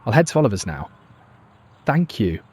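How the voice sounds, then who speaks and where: A synthetic man's voice playing a polite, puzzled male game character in plain conversational cutscene dialogue, with a husky tone. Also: lowish voice, very animated, moderate pace, darkish tone.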